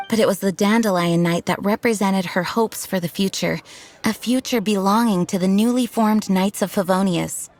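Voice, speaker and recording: A woman playing a dutiful young knight commander in a video game, speaking in an earnest, formal, measured way. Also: medium pitch, natural voice. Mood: calm, hopeful. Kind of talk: video game character acting.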